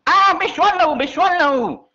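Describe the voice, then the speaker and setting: A woman speaks in a high register at a very unhurried pace. She is in a casual phone conversation, and the audio is dull and muffled. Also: lively intonation, sharp tone.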